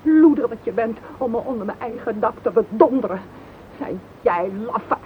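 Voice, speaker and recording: Scathing jealous wife played by an actress, tinny recording. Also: female voice, a little high, lively intonation, moderate pace, natural voice. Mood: furious, scornful. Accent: Netherlands Dutch. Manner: scathing, sarcastic, accusing. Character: furious, bitter, jealous wife betrayed by her sister. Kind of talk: radio drama acting. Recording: tinny, noisy old radio recording.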